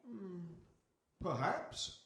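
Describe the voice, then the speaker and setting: Man playing a high-voiced, flustered, henpecked fisherman. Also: big pitch swings, extremely slow, gravelly, fairly bright tone, full character voice. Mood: reluctant. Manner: hesitant, flustered, pleading. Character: meek, henpecked fisherman, reluctant husband. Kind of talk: live radio play acting. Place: hall stage before a live audience. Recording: echoey hall, distant microphone.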